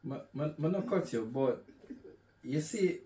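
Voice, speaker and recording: A man hosting an interview, asking questions in an unhurried way with brief murmured replies. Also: fairly high pitch, animated, warm tone. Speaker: podcast host. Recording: echoey room, distant mic.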